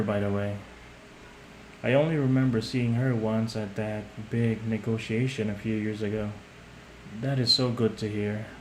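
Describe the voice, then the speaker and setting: A man playing a young mafia heir in an audio roleplay, measured and polite on the surface, condescending and manipulative underneath. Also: lowish voice, darkish tone, lightly acted. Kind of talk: scripted audio roleplay addressed to the listener. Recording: roomy echo, some background noise.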